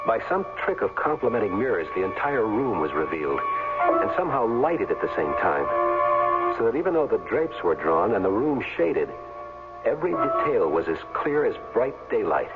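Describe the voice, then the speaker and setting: A fairly low-voiced retired ballplayer, telling his own story in colloquial, wry fashion. He is curious and plainspoken. It comes through as thin 1970s radio drama with music behind it. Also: big pitch swings, normal speed. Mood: tense, horrified. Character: wry, curious retired football player narrating his adventure. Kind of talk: 1970s radio drama, first-person narration and dialogue. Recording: tinny vintage radio over music.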